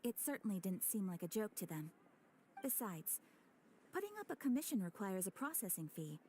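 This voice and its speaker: A woman's voice: medium pitch, big pitch swings, a little mellow, a little hiss or hum, natural voice. Woman voicing a formal guild receptionist. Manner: formal, measured, courteous. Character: polite female guild receptionist, calm and formal. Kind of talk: game cutscene voice acting. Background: game music.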